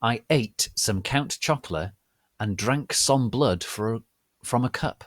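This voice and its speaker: A man hosting a dramatic reading, theatrical and mock-solemn, speaking deliberately with pauses. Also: mid-range voice, expressive, no room sound, clean studio sound. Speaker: host and reader.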